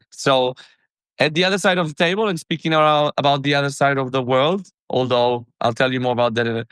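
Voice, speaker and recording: A man's voice: mid-range voice, fairly bright tone, clear, resonant tone, no room sound. Guy hosting a podcast, chatty, trips over his words a bit, dry close mic. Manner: chatty, friendly, stumbling restarts. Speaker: podcast host. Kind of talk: casual podcast interview.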